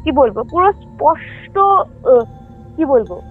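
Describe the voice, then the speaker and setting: A woman telling a ghost story casually as a caller, halting, repeating and correcting herself as she goes. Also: high-pitched, sing-song. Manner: halting, repetitive, self-correcting. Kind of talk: casual spoken storytelling with frequent check-in questions. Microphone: phone line. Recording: tinny phone call with music underneath. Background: music.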